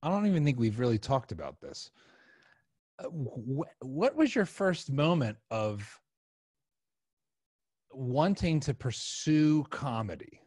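Slow, lively-pitched man interviewing. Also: breathy edge. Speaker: podcast host, interviewer. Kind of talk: casual interview. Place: furnished room, indoors. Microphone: desk microphone, headset headphones.

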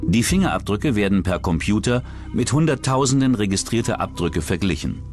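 A man's voice: on the low side, lively intonation, a little fast. A man reading scripted true-crime documentary narration as the narrator. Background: background music.

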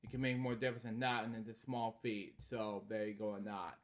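A man in his thirties hosting a bedtime story series, reading a children's book aloud in short halting lines with casual, repetitive asides. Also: fairly low pitch, level tone. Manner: halting, repeating stock phrases between short read lines. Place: small indoor room at home.

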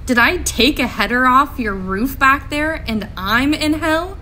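A woman plays a despairing young woman from a comic book drama, her voice fairly high. She is guarded, sardonic and exasperated, with some noise in the background. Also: lively intonation, measured pace, pushed and bright, heavily acted. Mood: weary, incredulous. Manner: exasperated, incredulous.

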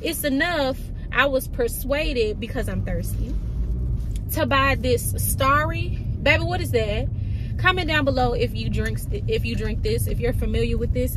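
A woman in her thirties vlogging from the driver's seat, her voice sitting slightly high as she chats away to viewers. She is animated and folksy, full of asides. The phone at arm's length picks up plenty of car and road noise. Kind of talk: casual vlog chat to camera. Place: parked car, then home room. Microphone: phone camera at arm's length.

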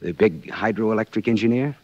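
An actor playing a sardonic, glib American hard-boiled private detective in 1940s radio style. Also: male voice, lowish voice, brisk, a little mellow, no room sound, natural voice. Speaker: lead actor and narrator. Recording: muffled, lo-fi 1940s radio recording.

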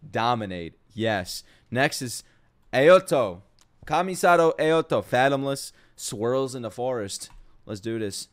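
A man streaming live reactions to game trailers, talking in excitable, slangy, profane casual commentary. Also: mid-range voice, slow. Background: game trailer music and voices.

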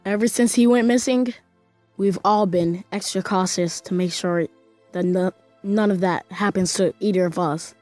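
A woman's voice: medium pitch, steady tone, normal speed, a little mellow, no echo, soft background music, natural voice. A woman voice acting a cautious, frightened spaceship survivor in an amateur game roleplay. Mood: somber.

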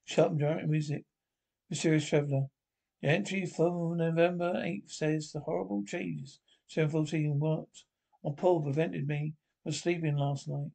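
A man reads an old radio drama script aloud as its narrator. His voice is mellow and creaky, slightly high, and his delivery halts and stumbles. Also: fairly even intonation. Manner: halting, stumbles over words. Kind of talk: amateur solo reading of radio drama script, stage directions aloud.

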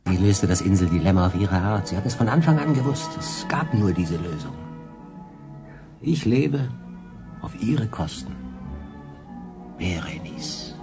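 An actor playing a melancholy human castaway in a sci-fi radio drama, halting and emotional in his delivery. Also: deep voice, lively intonation, over music. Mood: grieving, brooding. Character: melancholy, guilt-ridden human castaway man on island.

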